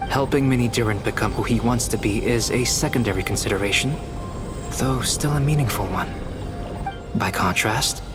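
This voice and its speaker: An actor playing a calm, erudite young alchemist in a video game, speaking in a measured, formal, precise way. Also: male voice, fairly low pitch, close to an everyday voice. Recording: music behind the voice.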